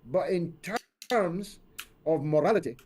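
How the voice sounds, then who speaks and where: A man's voice: on the high side, unhurried, mellow, a little hiss or hum. An older man giving political commentary as an interview guest, speaking emphatically with rhetorical lists and questions.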